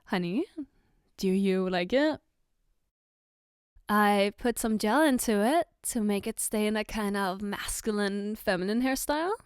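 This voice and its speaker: A woman playing a caring, playful girlfriend in an ASMR roleplay, gently reassuring and patiently explaining as she gives soft personal attention. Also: mid-range voice, unhurried, clean tone, no echo, studio quality, lightly acted. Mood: gentle, reassuring. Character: caring, playful girlfriend doing her partner's makeup.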